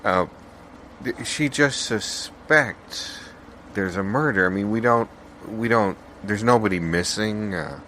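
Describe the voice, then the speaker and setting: A man playing a mild, polite small-town debate host in an improvised audio comedy, hesitant and stammering as he smooths things over. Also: on the low side, slow, bright, forceful tone, a little hiss or hum. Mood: hesitant, conciliatory.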